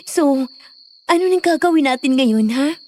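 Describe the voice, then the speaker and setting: An actress playing a frightened, questioning young bride-to-be in a Filipino horror audio drama. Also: female voice, sits high, lively intonation, a little slow, a little mellow. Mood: anxious. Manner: anxious, questioning.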